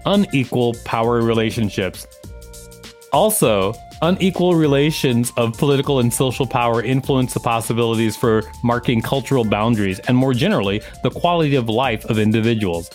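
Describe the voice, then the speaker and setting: Mid-pitched man reading over soft guitar music. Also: a little fast. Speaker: podcast co-host reading an encyclopedia article. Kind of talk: scripted narration read aloud, with brief casual commentary.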